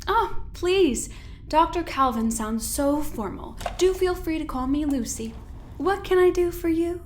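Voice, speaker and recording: Smug woman scientist, high voice, genteel and faux-sweet, needling, audio drama, faint background noise. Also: animated, measured pace. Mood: airy, affable. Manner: genteel, formal, mock-innocent.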